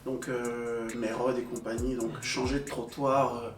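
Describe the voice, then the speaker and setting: A man's voice: mid-range voice, little pitch movement. Distant, echoey young man, hesitant. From Belgium. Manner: hesitant, many pauses and fillers. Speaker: panel guest. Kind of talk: casual conversation. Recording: echoey room, distant mic, soft music.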